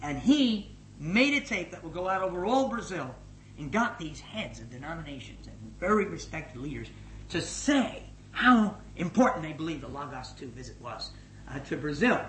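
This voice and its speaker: A middle-aged man giving a spoken report as a missions leader to a gathering, energetic and emphatic, swinging between loud and hushed. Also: fairly high pitch, moderate pace. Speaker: missions leader addressing his organisation. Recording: echoey room with faint noise.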